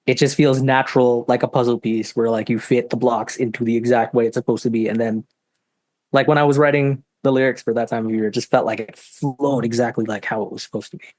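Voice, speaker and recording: A young man speaks as a guest in a casual interview, his voice mid-range and soft. He feels his way through his thoughts with many "like"s and pauses. The recording is dry and close-miked. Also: mid-range voice, fairly even intonation, no room sound. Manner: soft-spoken, hesitant, heavy use of "like". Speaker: guest singer-songwriter.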